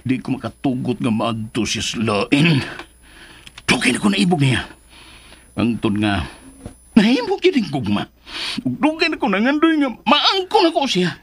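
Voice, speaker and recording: Husky, wildly swooping, obsessed older Filipino man. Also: high-pitched, wildly swooping pitch, measured pace, fairly bright tone, heavily acted. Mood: agitated longing. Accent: Filipino Cebuano. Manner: agitated, blustering, swings from muttering to yelps. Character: obsessed, scheming older man, excitable and hot-tempered. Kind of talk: Philippine radio drama acting.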